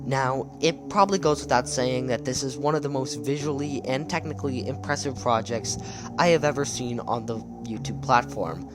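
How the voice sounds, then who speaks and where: Man narrating over background music. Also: mid-range voice. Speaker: video essay narrator. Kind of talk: casual scripted commentary on horror web series.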